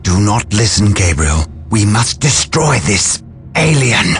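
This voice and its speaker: An actor playing a distrustful, zealous space marine advisor in a game cutscene, formal and insistent. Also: male voice, fairly low pitch, sing-song, a little slow. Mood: suspicious. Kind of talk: dramatic game cutscene acting. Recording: music bed under the voice.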